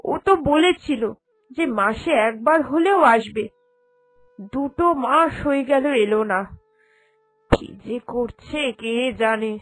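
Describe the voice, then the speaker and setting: A woman acts out an anxious cartoon mother-in-law who dotes and frets. Her voice sits high and plaintive, quick to nag. Soft music plays behind her. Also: rough recording. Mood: plaintive worry. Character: anxious, doting mother-in-law fretting over her son. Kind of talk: Bengali cartoon drama dubbing.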